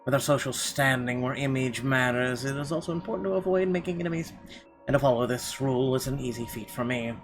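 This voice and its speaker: A man's voice: mid-range voice, fast. A man hosting a gaming video, reading a visual novel's narration aloud. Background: game music.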